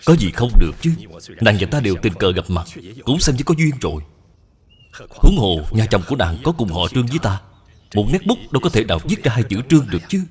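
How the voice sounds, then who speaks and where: A courteous young gentleman of a cartoon tale, talks in a low, lively voice. He is quick, formal and reassuring. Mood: friendly insistence. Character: courteous, persuasive young gentleman suitor of means. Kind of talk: cartoon dub acting.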